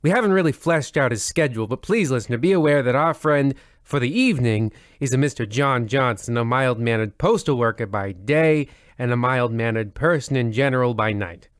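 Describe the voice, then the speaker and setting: A man's voice: medium pitch, lively intonation, a little fast, hardly any pauses, clean tone, no room sound, studio quality, natural voice. A man playing the host of an old-time radio mystery show, narrating in a formal, wordy, mock-solemn way. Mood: mock-ominous.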